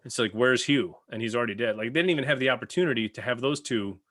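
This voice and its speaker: A man's voice: medium pitch, fast, fairly bright tone, no echo, studio quality. A man talking casually and emphatically as a panelist in a fan discussion of a TV series. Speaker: podcast panelist.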